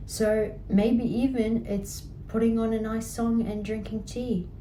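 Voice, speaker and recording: A woman's voice: fairly high pitch, steady tone, a little slow, dark tone, roomy sound, slight background noise. A woman leading a healing coaching session, encouraging and often checking in with "right?". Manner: encouraging, repeats "right?" and "guess what". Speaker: healing practitioner.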